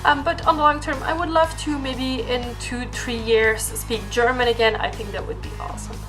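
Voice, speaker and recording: High-voiced young woman. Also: fairly even intonation, normal speed, music in the background, low-quality recording. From Belgium. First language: Dutch. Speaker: vlogger. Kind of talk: casual question-and-answer vlog to camera.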